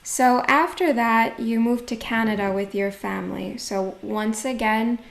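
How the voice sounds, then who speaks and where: A woman host interviews her guest with curiosity, reacting with laughs. Her voice sits a little higher than most, and it carries in an echoey room. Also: unhurried, creaky. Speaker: podcast host.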